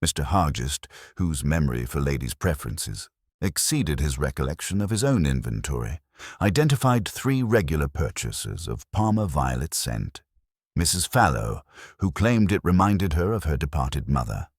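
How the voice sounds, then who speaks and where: A man's voice: rumbling low, big pitch swings, normal speed, slightly rough, no room sound. A man narrating a scripted mystery story in a formal, dry, wry manner.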